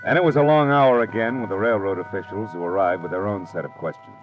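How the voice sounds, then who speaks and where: Wry, protective tough-guy detective, played by a man, American, deep voice, clipped slangy delivery, dull vintage radio sound with music behind. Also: quick pace, close to an everyday voice. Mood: weary, brooding. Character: hardboiled, world-weary male private detective, protective and wry. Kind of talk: 1940s radio drama acting and narration.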